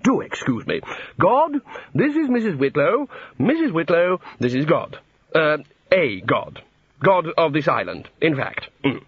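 Man as a flustered, high-pitched senior wizard. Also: sing-song, breathy edge, dry recording, phone-quality sound, exaggerated voice. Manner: fumbling, halting introductions. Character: blustering, awkwardly polite senior male wizard.

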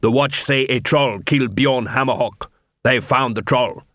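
A man's voice: medium pitch, a little slow, a bit bright, no room sound, studio quality, lightly acted. A man voicing a hostile male dwarf leader who speaks curtly and sarcastically. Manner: curt and sarcastic.

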